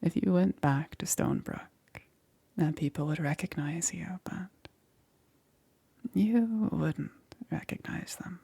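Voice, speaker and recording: A woman playing a soft-spoken, coaxing, quietly menacing witch in an ASMR roleplay, often dropping into a breathy, hesitant whisper. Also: lowish voice, full character voice. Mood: matter-of-fact, soft. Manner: slow, hesitant, softly cooing.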